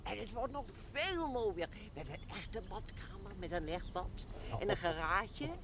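A woman's voice: medium pitch, expressive, unhurried, a bit bright. A woman playing a chatty, devout, prickly old village woman in a television drama. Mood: chatty delight. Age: older. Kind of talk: television period drama acting.

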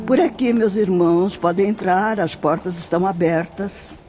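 A woman's voice: fairly low pitch, moderate pace, music in the background, natural voice. A Brazilian woman playing a venerable nun who guides spirits in a radio drama, speaking in a solemn, declamatory, tenderly instructive way. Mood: welcoming. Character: venerable, devout nun guiding spirits in the afterlife.

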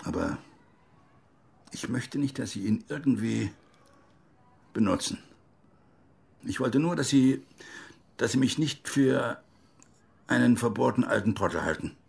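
An actor playing a proud older man in a naturalistic radio crime drama, halting and formal, with a very creaky, husky voice. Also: medium pitch, expressive, heavily acted. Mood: subdued, earnest. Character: proud old man, anxious not to seem stubborn.

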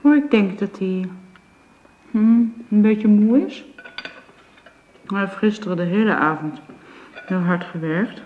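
An actress playing a gentle, caring young governess in a radio drama, speaking politely and coaxingly. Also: female voice, mid-range voice, takes it slowly, pauses often, lightly acted. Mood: soothing, motherly. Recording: muffled, roomy radio drama recording.

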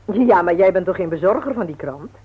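A woman's voice: on the low side, expressive, a little fast, slightly rough. A middle-aged woman playing a strict, principled mother in a radio play, firm and admonishing. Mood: concerned. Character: strict, principled, worried mother of grown children. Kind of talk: vintage Dutch radio play acting. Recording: old narrow-band radio recording.

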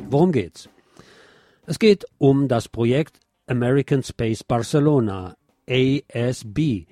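A man reads the news in a soft, mellow tone with a medium pitch. He presents scripted items and steps aside to explain and comment with clear opinions. The sound is close and dry, with faint music underneath. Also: no room sound.

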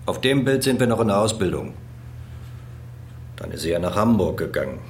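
Low-voiced, laconic policeman. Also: very animated, a little fast, slight background noise. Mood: matter-of-fact. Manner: terse, offhand. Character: laconic, gruff male police colleague, casually bigoted. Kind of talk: understated radio drama acting.

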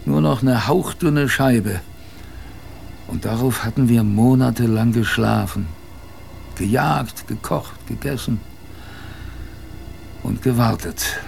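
An actor playing a male polar expedition survivor, narrating his story dramatically in first person, halting and repeating phrases. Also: medium pitch, vocal fry, a little mellow, faint music behind. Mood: grave.

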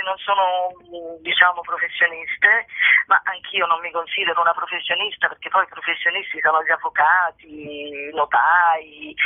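A woman's voice: fairly low pitch, no room sound. A woman chatting casually as an interview guest, hesitant and often searching for her words. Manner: hesitant, searching for words, repeating phrase starts. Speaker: guest, actress and co-author. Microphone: telephone. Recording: tinny narrowband telephone line.

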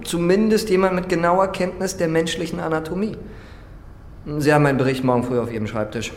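An actor playing a dry, brusque forensic pathologist in a radio drama, with clinical, curt and sardonic delivery. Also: male voice, on the high side, fast talker, roomy sound, big-room sound, slight background noise, close to an everyday voice. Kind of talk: naturalistic German radio drama acting.

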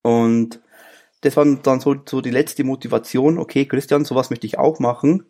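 A Bavarian-accented man in his early thirties talks as an interview guest, easygoing and chatty. His voice sits at an ordinary middle pitch, and he fills his pauses with little hesitation sounds as he thinks aloud. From Bavaria, Germany. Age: 30s. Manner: relaxed and chatty, with frequent filler sounds. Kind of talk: casual conversational interview.

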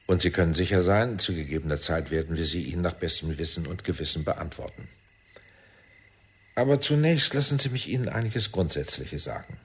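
Husky, courteous, commanding spymaster. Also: male voice, sits low, animated. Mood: solemn, persuasive. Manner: formal, measured politeness. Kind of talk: radio drama acting.

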